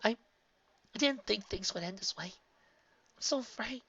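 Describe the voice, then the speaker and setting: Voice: medium pitch, fairly quick, bright, forceful tone, dull audio, voice pushed up. A reader voicing Twilight, a young female pony, anxious and resolute, who sobs, pleads and screams. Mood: fearful. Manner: tearful, pleading, then screaming.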